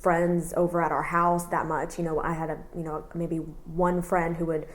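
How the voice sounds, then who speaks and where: Lower-voiced woman in her thirties, rambling. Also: normal speed. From Houston, Texas. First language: Cantonese. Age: 30s. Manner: relaxed, rambling, many "you know" fillers. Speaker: interviewee. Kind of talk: oral history interview.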